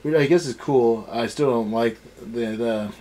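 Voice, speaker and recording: A man's voice: mid-range voice, measured pace, dark tone, slight background noise. A man in a casual, opinionated discussion as a review co-host, blunt and dismissive in his ranting. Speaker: co-host of a card game anime review.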